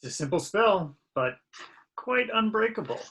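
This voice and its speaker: A man playing a composed, haughty wizard in an amateur table read, measured and dryly defiant. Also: high voice, very animated, pushed and bright, exaggerated voice.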